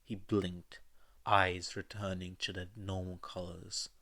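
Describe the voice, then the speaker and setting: A man's voice: sits low, level tone, slow pace, sharp tone. A man reading scripted audiobook narration of a fan fiction story.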